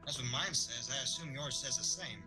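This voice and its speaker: A man's voice: medium pitch, a little fast, music in the background, natural voice, low-quality recording. A man playing an easygoing, slightly unsure schoolboy with a casual manner in an audio drama. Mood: puzzled, casual. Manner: casual, laid-back.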